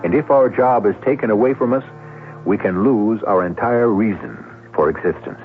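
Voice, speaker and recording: A man hosting a 1970s radio drama, narrating in a measured, theatrical, philosophical manner. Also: lowish voice. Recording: tinny old radio broadcast, faint music.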